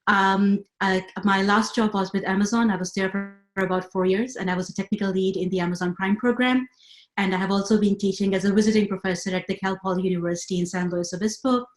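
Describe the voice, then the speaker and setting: A woman in her thirties, from Pakistan, presenting a webinar talk with measured, lecturing delivery and frequent fillers. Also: medium pitch, steady tone, reverberant, poor audio. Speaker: webinar speaker.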